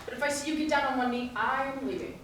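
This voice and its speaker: A woman's voice: high-pitched, quick pace, a bit bright. A woman playing a blunt, protective young woman in a stage musical comedy, assertive and wry. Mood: wry, firm. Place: live staged reading before audience. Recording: echoey stage, distant mic, faint noise.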